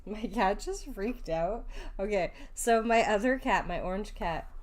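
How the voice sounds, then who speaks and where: A woman's voice: mid-range voice, unhurried. A young adult woman streaming a game, chatting casually and giggling often.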